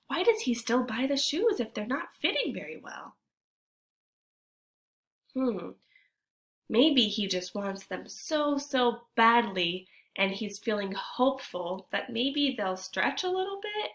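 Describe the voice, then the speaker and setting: A woman teacher reading a storybook aloud to children, expressively, pausing to ask her young listeners guiding questions. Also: on the high side, a bit bright.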